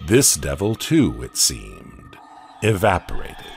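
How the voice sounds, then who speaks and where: A man narrating a dark audio comic story in a scripted, dramatic way, often dropping to a hush at the ends of lines. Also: sits low, wildly swooping pitch, gravelly, faint music behind. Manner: slow, dramatic storytelling with hushed drops.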